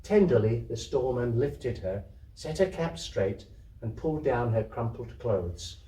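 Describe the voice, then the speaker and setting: Male story reader, animated intonation, literary narration, echoey room, distant microphone. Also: medium pitch, unhurried. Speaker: theatre troupe reader. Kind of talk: expressive literary story reading. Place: indoor community venue, live reading. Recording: roomy echo, distant microphone.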